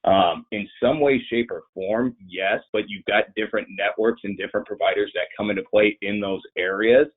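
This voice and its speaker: A man's voice: fairly low pitch, normal speed, hardly any pauses, husky. A man presenting and taking questions in an informal webinar, conversational and rambling, sounding nasal and congested with a cold. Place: online presentation. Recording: muffled band-limited online webinar audio.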